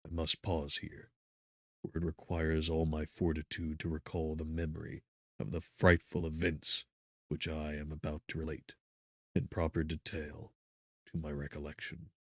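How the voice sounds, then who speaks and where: A man reading a gothic audiobook as narrator, in a measured, solemn, theatrical way. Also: extremely low pitch, completely flat delivery, no echo, studio quality. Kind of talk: dramatic scripted narration of gothic fiction.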